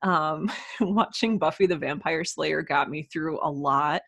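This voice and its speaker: A woman's voice: low voice, unhurried, creaky, a bit bright, breathy edge, dry recording. A woman speaking as a podcast guest in casual interview conversation, reflective and earnest.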